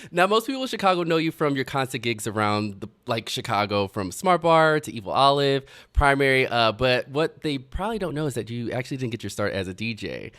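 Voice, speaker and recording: A young male radio host with a very lively, bouncing pitch keeps the interview casual and upbeat. He laughs often and fills gaps with "um" and "like". He talks from a radio studio. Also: brisk, few pauses.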